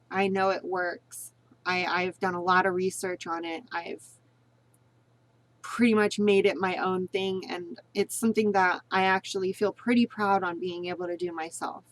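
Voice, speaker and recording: A woman giving a casual spoken tutorial on voice training, rambling and repeating herself, earnest and encouraging. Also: medium pitch. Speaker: vlogger teaching voice training.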